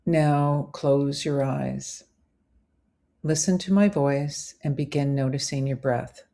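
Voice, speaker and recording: A woman narrating a scripted guided visualization as a meditation host, in measured, deliberate phrases. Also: rumbling low, vocal fry, warm tone.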